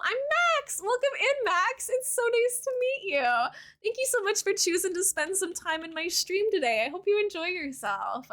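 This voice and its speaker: A woman in her thirties with a high-pitched voice, chatting casually with her viewers as a streamer and rambling with lots of "like" fillers. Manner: warm toward chat, thanks new followers. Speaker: Twitch streamer. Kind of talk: casual live-stream chat while playing a game.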